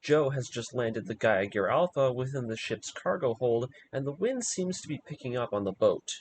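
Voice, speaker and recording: A man's voice: mid-range voice, lively intonation, moderate pace, slight background noise. A man narrating a scripted video review, moving between commentary and story recap.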